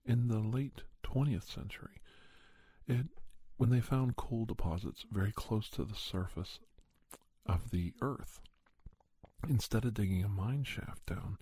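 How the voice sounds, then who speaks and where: A soft-spoken man telling a first-person story in an ASMR style, often dropping toward a whisper. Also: sits low, husky, no room sound. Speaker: storyteller and podcast host.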